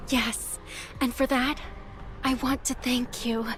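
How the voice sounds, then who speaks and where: A gentle young female game character with a high voice. She speaks in earnest, formal scripted lines, longing for kindness, and music plays along with her. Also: little pitch movement, slow pace. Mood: yearning, grateful.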